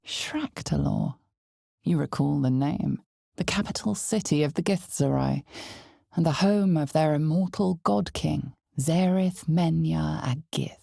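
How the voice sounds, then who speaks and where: A woman playing the knowing, ominous narrator of a dark fantasy video game, speaking in a measured, deliberate way with weighty pauses. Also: deep voice, gravelly, breathy edge, no room sound, clean studio sound, heavily acted. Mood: dark, dramatic. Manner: measured, deliberate, weighty pauses, sometimes dropping to near whisper. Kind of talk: dramatic scripted fantasy game narration in second person.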